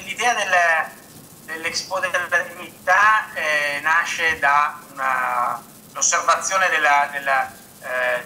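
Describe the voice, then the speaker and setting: A man's voice: mid-range voice, poor audio. A man in his thirties, a guest author speaking over a video call, hesitant and full of restarts and fillers. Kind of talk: remote book presentation. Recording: bright video call audio with faint noise.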